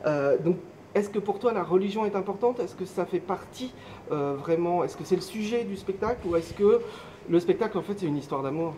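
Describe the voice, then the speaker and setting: A woman's voice: on the low side, lively intonation, fairly quick, mellow, a little hiss or hum, rough recording. A woman interviewer asking questions casually and hesitantly, building each one up gradually.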